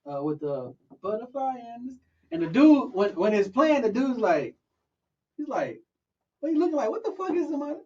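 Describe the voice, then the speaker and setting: Podcast host guy, high voice, acts out quotes, muffled sound. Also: moderate pace, distant mic. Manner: animated storytelling with acted-out quotes. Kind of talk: casual chat.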